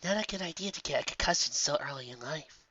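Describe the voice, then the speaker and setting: A narrator voicing a calm, regal female pony princess and mentor in a fantasy story, speaking in a measured, hushed way. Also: medium pitch, dull audio. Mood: wry.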